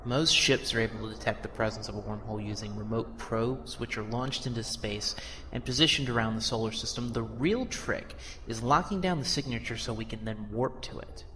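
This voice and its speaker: A man's voice: mid-range voice, a little fast, pushed and bright, close to an everyday voice. A man playing a matter-of-fact, technical spaceship science officer in an audio drama. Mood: businesslike. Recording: reverberant hall, noisy ship ambience.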